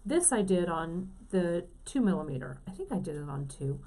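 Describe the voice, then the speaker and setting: A woman's voice: lowish voice, big pitch swings, moderate pace, warm tone. A woman co-hosting a casual beading demonstration, talking enthusiastically with lots of fillers. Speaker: co-host. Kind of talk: casual chat, craft demonstration.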